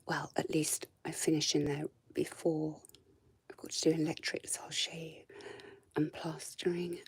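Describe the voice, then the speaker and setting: Croaky whispering woman in an attic. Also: sits low, level tone, measured pace. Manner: soft, hesitant, trailing off with ums. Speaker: home renovation vlogger. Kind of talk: casual walk-through tour. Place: indoors in a cramped attic.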